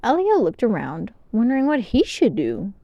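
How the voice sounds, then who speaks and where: A woman narrating a children's novel aloud in an expressive storytime style. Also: on the high side, normal speed, no echo, muffled recording.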